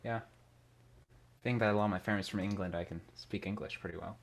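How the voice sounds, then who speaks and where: A man's voice: lowish voice, fairly even intonation, quick pace, creaky. A man co-hosting a podcast in casual, bantering chat, with slight noise and clicks on the recording. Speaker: podcast co-host. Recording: faint background noise, clicks.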